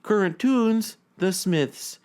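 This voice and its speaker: A man giving a deliberate, melancholic dramatic reading of a journal. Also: high voice, lively intonation, a little mellow, clean tone. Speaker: reader in a dramatic reading of a fictional blog. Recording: music behind the voice. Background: background music.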